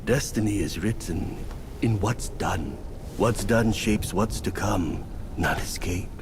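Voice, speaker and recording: A man's voice: on the low side, takes it slowly, noisy background. A man voicing a smug, chuckling male fate reader in a game cutscene, speaking in a knowing way. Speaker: fortune reader. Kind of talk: video game cutscene voice acting.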